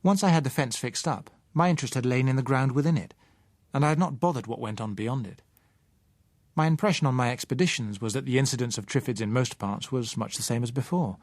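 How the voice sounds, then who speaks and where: A man reading a first-person novel as an audiobook narrator, measured and articulate. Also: mid-range voice, no room sound.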